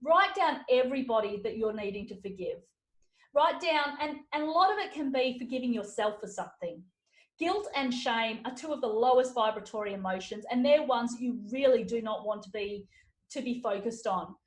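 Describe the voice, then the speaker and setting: High-voiced woman giving an upbeat keynote. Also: lively intonation, normal speed. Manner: upbeat, enthusiastic, lists numbered steps. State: slightly hoarse. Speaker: podcast host giving a keynote talk. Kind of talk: motivational keynote talk. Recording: roomy echo.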